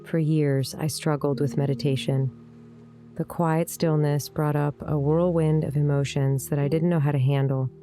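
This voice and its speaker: A woman with a low-pitched, warm and relaxed voice leads a guided meditation. She speaks gently and soothingly, leaving unhurried pauses between phrases. Quiet instrumental music plays underneath. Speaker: meditation guide, yoga teacher. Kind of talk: guided meditation, scripted narration. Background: soft instrumental music.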